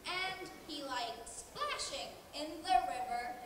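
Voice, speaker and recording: A woman's voice: sits high, lively intonation, takes it slowly, far from the mic. A teenage girl from Saudi Arabia reading a children's story aloud expressively at a stand mic on a hall stage. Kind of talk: readers' theatre storybook reading. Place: large indoor hall stage. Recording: echoey hall, faint crowd noise.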